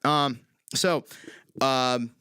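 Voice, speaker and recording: A man hosting his own live stream, chatting quickly and casually with viewers, his voice worn out from singing. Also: fairly even intonation, sharp tone, clear, resonant tone, no room sound, studio quality. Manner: quick, low-key, lots of ums and uhs. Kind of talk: casual chat with viewers between songs.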